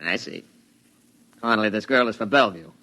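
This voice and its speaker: A sharp, brusque police sergeant. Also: male voice, on the low side, fairly quick. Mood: skeptical. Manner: curt, sarcastic, commanding. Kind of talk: old-time radio drama acting. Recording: vintage radio drama recording.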